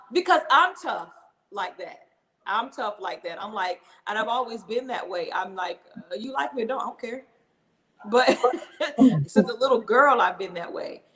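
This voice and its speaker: A woman's voice: mid-range voice, a bit bright. A middle-aged woman hosting a casual talk show, speaking frankly and reflectively about her parenting, with easy laughter. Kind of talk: casual candid conversation.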